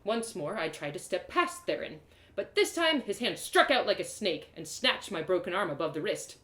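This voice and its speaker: A woman reading a first-person fantasy story aloud in expressive storybook narration. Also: fairly low pitch, normal speed. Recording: roomy echo.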